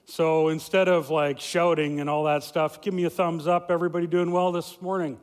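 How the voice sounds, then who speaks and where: High-voiced pastor preaching in a reverberant hall. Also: male voice, normal speed, smooth. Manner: loud, lively preaching with many fillers and restarts. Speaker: family pastor. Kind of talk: sermon. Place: church sanctuary.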